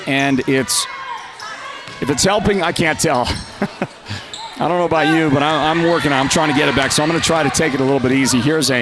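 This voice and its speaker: A man with a nasal, congested voice from a lingering cold, calling a live high school basketball game in a chatty way and raising his voice on big plays. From Northern Arizona. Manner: chatty, rises to loud calls on big plays. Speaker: play-by-play announcer.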